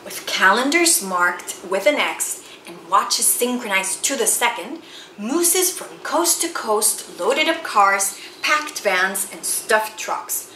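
A woman reads a children's story in a bright, very lively voice. Her phrasing is theatrical and emphatic, taken at an unhurried pace. The room adds noticeable echo. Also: mid-range voice. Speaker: picture book reader. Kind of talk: expressive children's read-aloud storytelling. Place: small indoor room with bookshelves and chalkboard. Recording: roomy sound with faint background noise.

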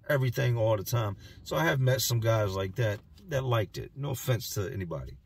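A man in his thirties with a raspy voice, vlogging bluntly from a parked car. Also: medium pitch, dry recording. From Virginia, US. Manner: blunt, plain-spoken street talk. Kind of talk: casual talking-head vlog. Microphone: phone camera at arm's length.

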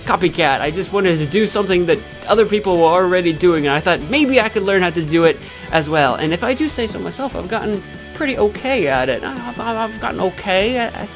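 A man's voice: sits high, lively intonation, fairly quick, soft background music. A man in his twenties with a Midwestern American accent, chatting casually to camera as a channel host in a rambling, self-correcting way. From Illinois, United States. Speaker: YouTube gaming channel host. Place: small indoor room with green screen backdrop. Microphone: desk microphone with pop filter, close.

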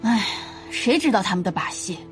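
A woman's voice: medium pitch, lively intonation, moderate pace, faint music behind, close to an everyday voice. An actress playing a chatty, fretful female snack-shop owner of about fifty in an audio drama, grumbling as she talks. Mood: worried, gossipy.

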